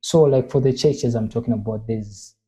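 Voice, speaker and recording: A man, a painter, talking in an interview, halting and earnestly repeating his phrases. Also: mid-range voice, warm tone. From Zambia. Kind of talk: casual interview talk.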